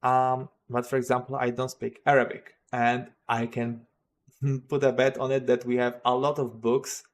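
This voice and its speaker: A man's voice: medium pitch, flat delivery, normal speed. A man with a Polish accent, a native Polish speaker, hosting a casual livestream and rambling hesitantly with many fillers and restarts. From Poland. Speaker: modding livestream host.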